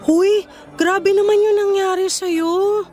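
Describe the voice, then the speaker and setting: A young woman voicing a supportive girlfriend in casual, expressive radio drama dialogue. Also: very high-pitched, slow pace. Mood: sympathetic. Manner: expressive, casual, sympathetic, code-switching into English. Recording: faint music under dialogue.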